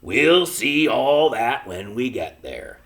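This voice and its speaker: A man reading aloud and voicing a small, stubborn working-class father who speaks in a flat, expressionless way with rustic grammar. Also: mid-range voice, slow pace, pitched above the performer's own voice. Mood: gruff, firm.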